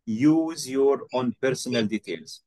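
Slow, patient male English teacher. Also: medium pitch, animated, mellow. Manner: slow, patient, encouraging, repeats phrases. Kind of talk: online one-to-one English lesson.

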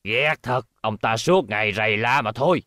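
A man's voice: mid-range voice, normal speed, pushed and bright, dry recording, natural voice. A man dubbing a belligerent, defiant middle-aged robot maker in a cartoon, grumbling and combative. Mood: irritated, defiant.

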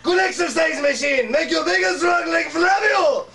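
An actor playing a hyper, boastful fitness-machine salesman who talks in broken English and shouts his own name, on an improvised comedy talk show. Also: very high-pitched, normal speed, faint music behind. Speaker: guest character. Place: small indoor set, couch and table. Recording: distant camera audio with hum.

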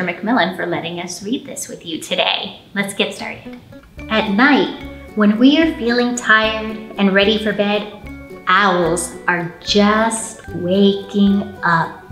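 A woman in her thirties hosting a children's storytime, reading aloud in an animated, encouraging way. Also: mid-range voice, roomy sound. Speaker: children's librarian and storytime host. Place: indoor library storytime room.

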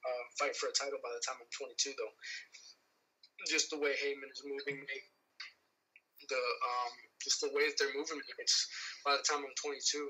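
A young man in his early twenties, a boxer, answering interview questions over the phone from inside a car, relaxed and confident with many fillers. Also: mid-range voice, normal speed, long pauses, low-quality recording. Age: 20s. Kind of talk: casual interview. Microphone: phone held close.

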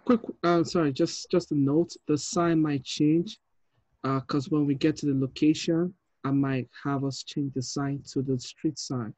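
A man's voice: a little high, fairly even intonation, a little slow, a little mellow, no room sound. A man in his thirties reading script directions as a film director over a video call. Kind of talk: flat scripted narration. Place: room at home, indoors.

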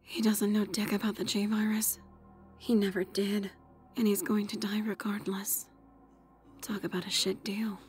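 A woman's voice: mid-range voice, flat delivery, unhurried, a little mellow, faint music behind, lightly acted. Woman as a cool, cynical female agent. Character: guarded, cynical woman agent's inner thoughts and speech. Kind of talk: dramatised audiobook acting.

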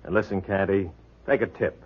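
A wary American police detective from old radio crime drama. He talks low, in blunt, needling, casual phrases. The sound is thin and phone-like. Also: fairly even intonation, moderate pace, breathy edge. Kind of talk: 1940s radio detective acting. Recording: tinny, phone-like 1940s radio recording.

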